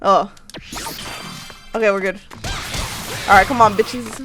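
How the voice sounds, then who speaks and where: A young woman doing casual, slangy, foul-mouthed gaming commentary in an excitable way. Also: mid-range voice, takes it slowly. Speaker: gaming video host. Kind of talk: casual let's play commentary. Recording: game music under the voice. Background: video game music.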